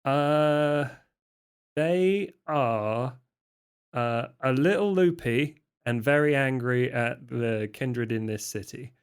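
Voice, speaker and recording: An awkward, guarded young vampire dealer played by a man in a tabletop roleplay. He talks slowly and casually, with halting, stammered restarts. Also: medium pitch, clean tone. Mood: negotiating. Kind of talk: improvised in-character roleplay dialogue.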